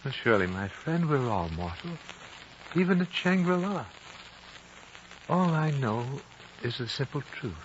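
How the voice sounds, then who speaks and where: Man acting dying old sage, medium pitch, measured fatherly delivery, vintage radio drama, slightly noisy recording. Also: dull audio, natural voice. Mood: solemn, tender. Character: ancient wise dying High Lama, gentle and prophetic.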